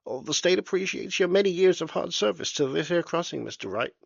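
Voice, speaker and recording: A man's voice: a little high, animated, a little fast, creaky, breathy edge, dry recording, muffled recording, pitched above the performer's own voice, heavily acted. A man voicing a small, bespectacled male state surveyor, polite and pushy, talking fussily with hesitant "uh"s. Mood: smooth-talking. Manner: fussy, ingratiating, hesitant "uh"s.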